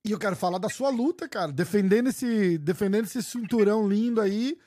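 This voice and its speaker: A Brazilian host with a notably high voice chats loosely with his guest, full of slang and restarts. The sound is close and dry, with no room around it. It comes across as a relaxed studio conversation. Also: brisk, dry recording. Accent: Brazilian Portuguese. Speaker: podcast host. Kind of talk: casual interview chat.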